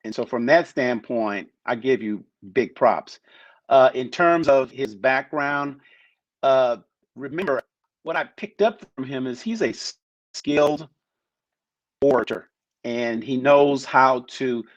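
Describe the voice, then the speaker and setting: Brief: male panelist, mid-pitched, dry lo-fi line, loose rambling delivery. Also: takes it slowly, no room sound. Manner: rambling, many restarts and hedges. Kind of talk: casual chat. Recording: muffled lo-fi sound.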